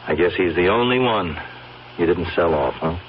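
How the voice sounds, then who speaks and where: Muffled old radio recording, American police sergeant, low swooping voice, folksy, sly, hesitant questioning. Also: male voice, expressive, moderate pace, slight background noise. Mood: casually probing. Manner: hesitant, seemingly casual questioning. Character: folksy, slyly probing male police sergeant. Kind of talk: old-time radio drama acting.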